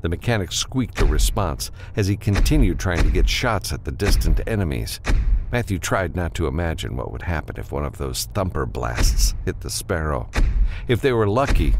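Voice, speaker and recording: A man with a low voice narrates an adventure novel. His delivery is dramatic and varied in pitch, with faint noise in the background. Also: big pitch swings, moderate pace, gravelly. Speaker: audiobook narrator. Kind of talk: dramatic scripted fiction narration.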